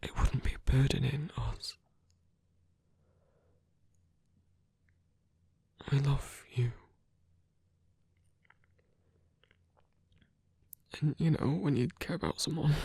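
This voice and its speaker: A performer in an intimate ASMR roleplay, voicing a gentle, protective supernatural lover with a husky, breathy voice and a hesitant, earnest delivery. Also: medium pitch, fairly even intonation, a little slow, no echo. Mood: reassuring, hesitant.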